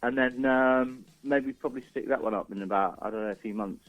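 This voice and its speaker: A man's voice: medium pitch, a little fast, soft background music. A man being interviewed as a musician guest in casual chat, hesitant and easygoing, over a narrow phone-like connection. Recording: narrow, muffled phone-like call.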